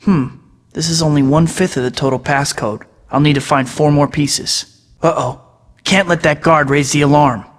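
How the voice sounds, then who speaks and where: An actor voicing a young masked superhero in a video game, talking himself through each task with wry jokes. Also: male voice, on the high side, steady tone, normal speed, long reverb tail, natural voice. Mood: determined. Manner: wry, joking asides to himself. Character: young male masked web-slinging superhero, wisecracking. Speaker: video game hero voice clips. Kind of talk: game voice-over lines.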